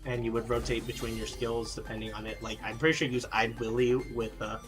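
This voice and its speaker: A man's voice: medium pitch, level tone, quick pace, over music. A man streaming a game, answering chat questions casually with plenty of fillers and restarts. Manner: rambling, many fillers and restarts.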